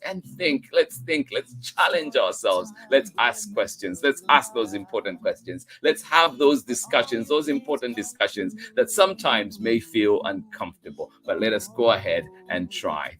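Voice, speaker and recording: A man's voice: medium pitch, sharp tone. A man hosting a children's story time livestream, chatting to viewers in an effusive way and often repeating his thanks and encouragement. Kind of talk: chatty livestream sign-off, greeting viewers by name.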